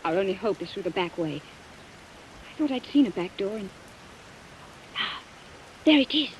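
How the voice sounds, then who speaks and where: A woman acting in an old-time radio suspense drama as a frightened but quick-thinking accomplice, urgent and melodramatic. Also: mid-range voice, lively intonation, close to an everyday voice, poor audio. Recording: vintage radio, faint noise and rain.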